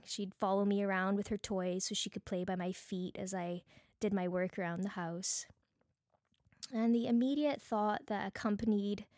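A woman's voice: mid-range voice, darkish tone, dry recording, clean studio sound. Earnest woman with a Bajan accent. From Barbados. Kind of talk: devotional teaching talk from notes, with personal anecdotes.

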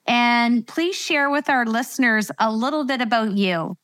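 A woman's voice: fairly high pitch, measured pace, a bit bright. A woman speaking as a podcast host, delivering a prepared introduction and welcome.

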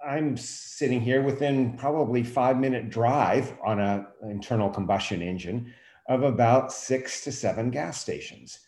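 A man's voice: medium pitch, normal speed, a little mellow. A man giving his opinions in a casual discussion, conversational and emphatic. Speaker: podcast host, teacher. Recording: echoey room.

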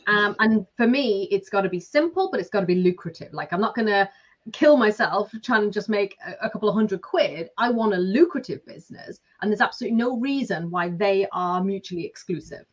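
A woman's voice: medium pitch, fairly quick. A woman in her forties with a Lancashire accent, a business coach talking chattily with lots of fillers. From Lancashire, England. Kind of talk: casual interview talk. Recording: slightly dull lo-fi sound.

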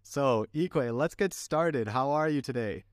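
A man hosting an interview podcast, talking in a friendly, relaxed way and reading a short scripted intro. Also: mid-range voice, a little slow, no echo, clean studio sound. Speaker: podcast host. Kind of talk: casual interview.

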